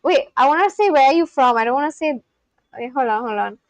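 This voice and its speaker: A woman's voice: sits high, lively intonation, fast talker, no room sound. A young woman from India with an Indian English accent, chatting casually on a live stream, rambling with lots of fillers and restarts. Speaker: livestream host.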